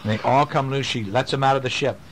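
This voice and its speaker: A father reading bedtime stories aloud to his children, gentle and animated, pausing to ask them about the pictures. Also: medium pitch, slight background noise, low-quality recording. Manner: gentle, animated storytelling with questions to the kids.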